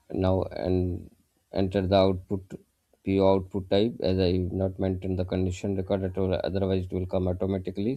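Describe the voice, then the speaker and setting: Man, software trainer, low-pitched voice, methodical step-by-step explanation, very dry close recording. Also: dry recording. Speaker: software instructor. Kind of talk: step-by-step tutorial narration.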